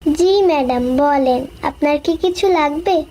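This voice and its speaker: A woman's voice: sits high, moderate pace, warm tone, natural voice. A woman voicing an obedient young female maid with a deferential manner in a comic cartoon. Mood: dutiful.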